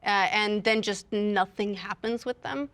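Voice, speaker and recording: Woman, average pitch, casual discussion, explanatory, informal panelist. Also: a little slow, a bit bright. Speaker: panelist on a video game and movie discussion show. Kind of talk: casual chat.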